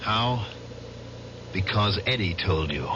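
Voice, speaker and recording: A man's voice: lowish voice, expressive, slow, pushed and bright, heavily acted. An actor playing a determined male war veteran who presses for a confession, in 1970s radio drama style. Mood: accusing. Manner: insistent, interrogating. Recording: dull old radio broadcast with hiss.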